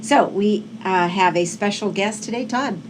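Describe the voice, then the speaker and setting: A woman's voice: mid-range voice, unhurried. A woman hosting a local TV talk show, friendly and casual with fillers. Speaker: TV show host. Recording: local TV studio with noisy background.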